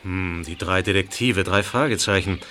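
A man's voice: low-pitched, normal speed, music in the background, natural voice. A man voicing an evasive middle-aged wildlife park owner in an amateur radio play, with sing-song intonation.

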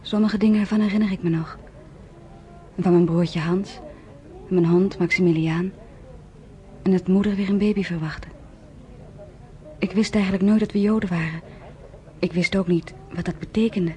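An actress playing a young woman who calmly recalls her childhood in a radio drama monologue. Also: lowish voice, moderate pace, pauses often, close to an everyday voice. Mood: reflective.

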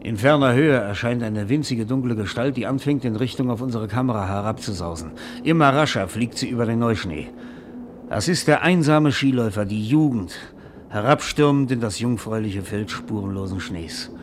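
An actor playing an eager, self-absorbed screenwriter and romantic dreamer in a German radio play, speaking in a florid, rhapsodic, theatrical way. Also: male voice, medium pitch, normal speed, a little hiss or hum, lightly acted. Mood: rapt, rhapsodic.

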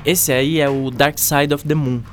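A laid-back Brazilian schoolboy in his teens, played by a woman, with a low-pitched voice. He talks in casual slang and likes to tease, in the manner of an educational radio play. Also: steady tone, measured pace, slight background noise. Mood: earnest, casual. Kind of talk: scripted educational radio drama.

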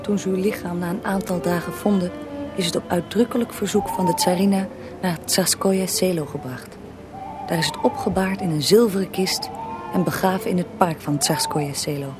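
A woman's voice: on the low side, fairly even intonation, normal speed, music in the background, natural voice. A woman playing a knowing, composed interviewer in a scripted radio drama, speaking matter-of-factly. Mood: factual. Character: female interviewer questioning a dead historical man, knowing, composed. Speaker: interviewer and narrator.

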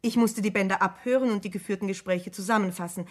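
An actress playing a composed, precise secretary in a German radio crime play, speaking formally and carefully. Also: female voice, mid-range voice, steady tone, fast talker, natural voice. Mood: matter-of-fact. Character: composed, precise female secretary answering police.

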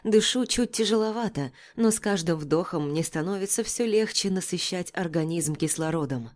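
A woman's voice: fairly low pitch, animated, normal speed, a little mellow. A woman narrating a first-person novel as an audiobook, reading from a script.

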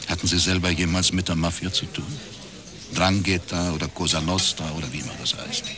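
An actor playing a wry, laconic private detective with a husky voice, in radio crime drama narration and dialogue. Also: male voice, sits low, a little fast, crowd noise, close to an everyday voice. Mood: probing. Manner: dry, deadpan, understated. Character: wry, laconic male East Berlin private detective, ex-academic.

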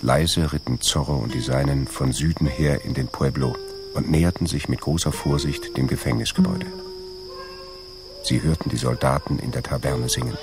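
Man narrating radio drama, super deep gravelly voice, loud music underneath. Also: steady tone, normal speed. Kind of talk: scripted radio play narration.

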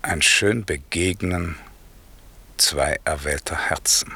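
A man's voice: low-pitched, slow, gravelly, bright, forceful tone, breathy edge, heavily acted. An actor playing a grave, fatherly old magician in a 1960s German radio play, solemn and reflective. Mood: solemn, benevolent. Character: old fatherly magician, grave, secretly watching young lovers.

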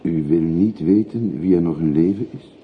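An actor playing an earnest railway porter in a radio play, formal and deferential yet full of probing questions. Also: male voice, sits low, normal speed, lightly acted. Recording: muffled phone-like old radio recording, faint hiss.

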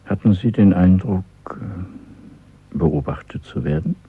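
An actor playing a calm, commanding, inscrutable party man in a radio drama, gravelly and terse, with a measured, probing delivery. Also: low-pitched, no echo, exaggerated voice. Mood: controlled, probing. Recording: narrow, muffled old radio drama recording.

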